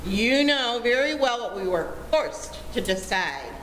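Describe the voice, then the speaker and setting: Lofty, wordy young daughter, radio comedy, female amateur, somewhat high voice, reverberant hall. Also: big pitch swings, unhurried. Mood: exasperated. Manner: prim, wordy. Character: indignant, lofty young daughter lecturing her elders. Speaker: amateur actor. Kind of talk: old-time radio comedy acting. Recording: echoey hall, faint noise.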